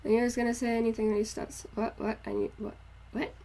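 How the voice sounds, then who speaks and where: Casting: young female streamer, fairly high voice, Geordie-Kiwi hybrid accent, informal and giggly, rambling chat. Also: little pitch movement, fast talker, dark tone. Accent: hybrid northeast English and New Zealand. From northeast England, moved to New Zealand as a child. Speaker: art livestreamer. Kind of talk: casual livestream chat with viewers.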